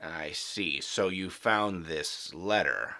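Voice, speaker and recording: A man playing a measured, evasive school principal in an audio drama, speaking in a formal, guarded way. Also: sits low, pushed and bright, voice pushed down, full character voice. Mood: probing.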